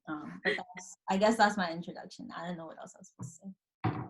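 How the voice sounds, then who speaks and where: A young college woman from the Boston area introduces herself on a video call. Her voice sits a little low, and she talks shyly, trailing off with soft laughs. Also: fast talker, a little hiss or hum. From Boston area, USA. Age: young adult. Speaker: guest. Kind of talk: casual chat on a video call.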